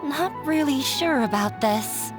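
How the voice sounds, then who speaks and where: A woman's voice: high-pitched, expressive, slow pace, over music. A woman voicing a timid, self-doubting young girl in a video game, hesitant and anxious.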